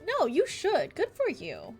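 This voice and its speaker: An American woman streaming a game, chatting casually with an animated, gossipy manner. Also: very high voice, a little slow. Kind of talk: live stream chat while reading game dialogue aloud. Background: music.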